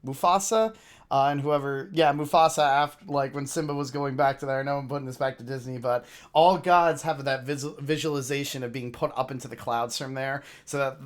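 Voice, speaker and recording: A man giving casual, gushing reaction commentary as an online host, rambling excitedly through his thoughts. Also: mid-range voice, fairly quick. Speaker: reaction video host, VTuber.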